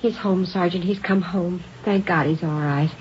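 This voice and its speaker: An actress playing a worried, gentle mother in an old radio drama. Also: fairly low pitch, moderate pace, lightly acted. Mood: worried, relieved. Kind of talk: 1950s radio drama acting. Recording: dull, noisy old radio recording.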